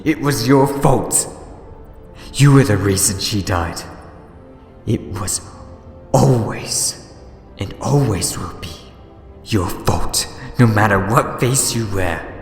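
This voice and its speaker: A man playing a scheming, mischievous and theatrical villain in a sci-fi fan audio drama, mocking in manner. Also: on the low side. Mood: bitter, accusing. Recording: echoey reverberant hall, music underneath.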